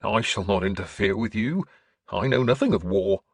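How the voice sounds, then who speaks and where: A man voicing a gentle, earnest scholarly bishop in an audiobook, with mild manners and a preacher's fervour. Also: lowish voice, expressive, normal speed, slightly rough, no room sound, clean studio sound. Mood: mildly sly. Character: gentle, earnest scholarly priest turned bishop, quietly sly.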